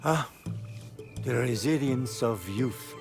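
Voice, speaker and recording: An actor playing a stern but gentle older village guardian in a video game, weary and unhurried. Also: male voice, mid-range voice, gravelly, over music.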